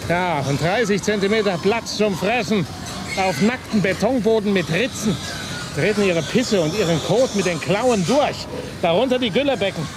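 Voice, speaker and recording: A man's voice: high-pitched, animated, normal speed, background noise. An actor playing a driven, insistent male radio reporter with a sardonic manner, in a naturalistic radio drama. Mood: grim, intense.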